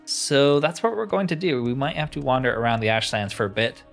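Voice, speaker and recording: A man's voice: mid-range voice, lively intonation, fairly quick, creaky, faint music behind. A man giving casual gameplay commentary on a fantasy roleplay game, musing aloud in a wry, conversational way.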